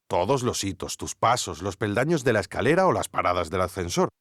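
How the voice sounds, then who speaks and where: Deep-voiced, animated Spanish investigator. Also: male voice, brisk, dry recording, studio quality. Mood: wry. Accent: Spanish from Spain. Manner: ironic, conversational asides to the listener. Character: wry, curious male investigator narrating his obsessive search. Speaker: podcast host and narrator. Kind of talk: scripted investigative podcast narration. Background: music.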